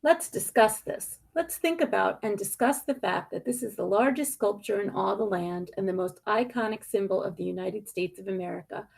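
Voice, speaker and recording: A woman reading a picture book aloud expressively, with deliberate dramatic emphasis and pointed pauses. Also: mid-range voice, gravelly, darkish tone.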